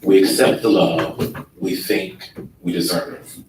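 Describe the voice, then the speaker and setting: Deep, sleepy-voiced man presenting in an echoey room. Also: very animated. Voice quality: sleepy, laid-back delivery. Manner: unhurried with frequent ums. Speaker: workshop presenter. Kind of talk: relaxed workshop presentation. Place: conference room. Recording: echoey room from far off with noise.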